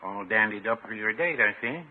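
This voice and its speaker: An actor playing a mild, homely shopkeeper in a 1950s radio comedy, folksy and drily teasing. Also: male voice, fairly low pitch, animated, brisk. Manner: folksy, dry, understated. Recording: noisy, muffled 1950s radio recording.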